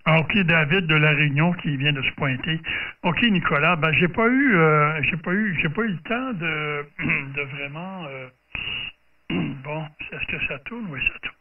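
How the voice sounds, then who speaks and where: A man running a group radio contact, halting and repeating himself as he casually directs the other operators. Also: fairly high pitch. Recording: noisy phone-like radio audio, distant, clicks.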